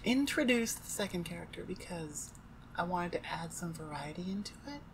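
A woman's voice: on the low side, big pitch swings, moderate pace, slight background noise, poor audio. A woman chatting casually to her listeners as an audio creator, informal and rambling, with hesitant phrasing.

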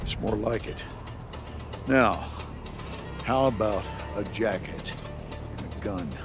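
Casting: arrogant US four-star general, gravelly, animated, sardonic, game score underneath. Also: male voice, fairly low pitch, slow pace, music in the background. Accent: American. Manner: haughty, sardonic, defensive. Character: arrogant, defiant American army general, cornered but unbowed. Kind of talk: cinematic video game acting.

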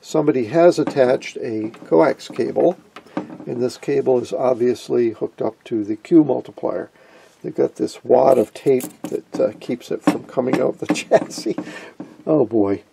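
A man giving a casual, colloquial technical explanation as host of a repair video, talking haltingly. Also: medium pitch, vocal fry, noisy background.

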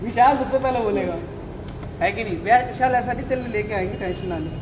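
A man's voice: high voice, fast talker, noisy background. A young man in his twenties vlogging casually in a large indoor gym, joking and teasing friends while holding a phone camera. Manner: joking, teasing, laughs often, calls out to friends. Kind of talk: casual gym vlog chat. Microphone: phone camera held at arm's length. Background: gym music.

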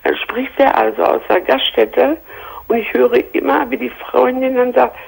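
A woman in her forties calling in by phone, distraught and pleading, with a gravelly voice. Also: a little high, fairly even intonation, normal speed, darkish tone. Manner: distraught, pleading, quotes others. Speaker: caller. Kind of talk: emotional call-in confession. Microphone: telephone. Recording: phone line with faint hiss.

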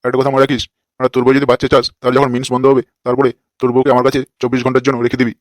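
A man doing a rapid-fire crude cartoon holy man. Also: medium pitch, monotone, no echo, natural voice. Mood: self-righteous. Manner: brash, crude, scolding. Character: crude, lecherous, foul-mouthed holy man, a fake sadhu. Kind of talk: comic cartoon dialogue.

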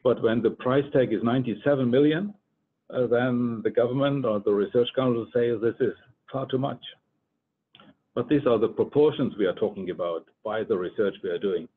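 Older man, phone-like sound. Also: slightly rough. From Germany. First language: German. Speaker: research scientist giving a presentation. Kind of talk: conference presentation. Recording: muffled phone-like line, rumbling noise.

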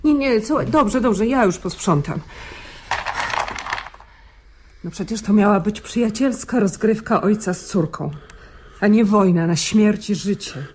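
An actress playing a chatty, conciliatory middle-aged mother in a radio drama, homely and coaxing, with a husky voice. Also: medium pitch, moderate pace, a little mellow, slight background noise, close to an everyday voice. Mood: placating. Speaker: actor in a radio play.

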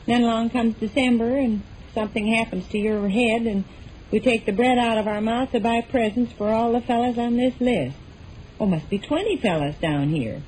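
An actress playing a thrifty, exasperated wife who scolds with folksy sarcasm in a 1940s radio comedy. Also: female voice, on the high side, moderate pace, darkish tone, close to an everyday voice. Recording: noisy old 1940s radio broadcast.

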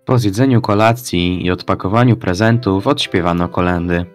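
Lowish-voiced man as Christmas storybook narrator. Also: moderate pace, faint music behind, close to an everyday voice. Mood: storytelling. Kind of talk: scripted narration, amateur school drama.

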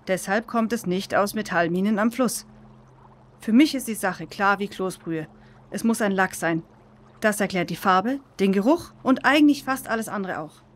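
A confident researcher with a medium-pitched female voice played by a woman in a children's audio drama. She explains things methodically and with assurance. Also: lightly acted.

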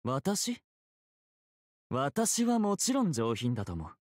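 A man's voice: medium pitch, wildly swooping pitch, slow, darkish tone, no echo, clean studio sound, exaggerated voice. A man playing an elegant, teasing pirate captain in a game, polite, theatrical and self-assured. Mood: smug, elegant. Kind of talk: Japanese game character voice acting.

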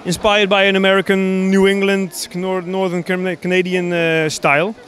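A man in his thirties from the Netherlands with a Dutch accent, explaining his hobby builds in a casual, halting interview. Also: high-pitched, flat delivery, a bit bright. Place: large busy exhibition hall, indoors. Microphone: handheld interview microphone.

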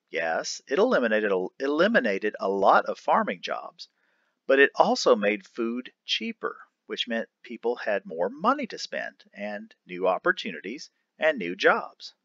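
A man reading an article aloud for a lesson in a deliberate, emphatic manner. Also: medium pitch, sharp tone, no echo, highs cut off. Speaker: reader of an educational article. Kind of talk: read-aloud of an article transcript, announcing each speaker.

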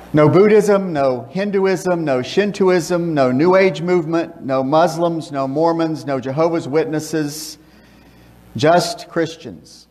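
Older guy preaching at a pulpit, steady emphatic delivery, big church echo. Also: fairly high pitch. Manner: measured, emphatic preaching with rhetorical questions. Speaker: pastor. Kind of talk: sermon with scripture reading and prayer. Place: church sanctuary, speaking from a pulpit. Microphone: pulpit microphone, filmed by a far camera. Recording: long church reverb.

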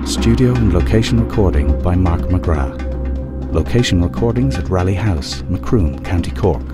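A man's voice: low-pitched, lively intonation, moderate pace. A man reading scripted closing credits for a radio drama as its announcer. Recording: loud drum music behind.

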